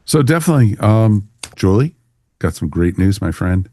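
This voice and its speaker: A man's voice: fairly low pitch, big pitch swings, normal speed, dark tone, no echo, studio quality. A man hosting a podcast in a casual, jokey, informal way. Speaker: podcast host.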